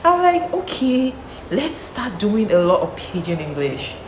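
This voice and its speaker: A woman's voice: medium pitch, sing-song, a little slow. A young woman with a Nigerian accent, chatting to camera in a vlog, talking freely with lots of restarts and repeated words. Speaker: vlogger. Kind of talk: casual talk to camera. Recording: echoey room, faint background noise.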